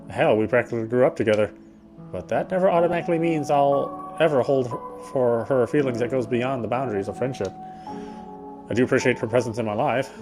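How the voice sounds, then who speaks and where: A man with a middling pitch reads visual novel narration aloud in an expressive storytelling style. Game music plays clearly behind his voice. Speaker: player reading a game's narration aloud.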